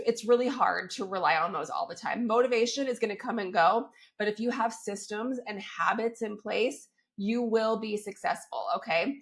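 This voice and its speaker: A woman hosting a fitness podcast while she has a cold, her voice crackly and a little squeaky, talking in an upbeat, chatty way and often checking in with her listeners. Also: creaky. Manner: upbeat and chatty, keeps checking in with "right?" and "okay?". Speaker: fitness coach and podcast host. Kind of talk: solo podcast, coaching talk.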